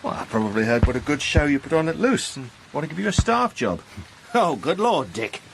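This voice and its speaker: An actor playing a jovial fellow officer with light, teasing banter. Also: male voice, a little high, big pitch swings, a little fast, gravelly, background noise. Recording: radio drama with rain effects.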